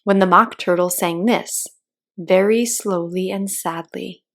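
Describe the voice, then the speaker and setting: A woman narrating a children's story in an expressive read-aloud style. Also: on the low side, measured pace, gravelly.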